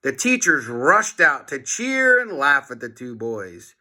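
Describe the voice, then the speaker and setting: A man reading a children's book aloud in an expressive, dramatic narration, with asides to young listeners. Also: mid-range voice, a little slow.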